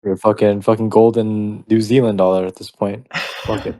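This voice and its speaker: Man, stream co-host, teasing profane banter, mellow dark tone, lowish voice. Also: level tone, moderate pace.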